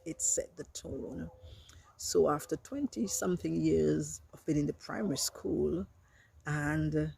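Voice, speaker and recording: An older woman from Dominica, a veteran teacher, earnestly and reflectively recounting her career in a measured way. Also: low-pitched, gravelly, mellow, a little hiss or hum. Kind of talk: personal reminiscence.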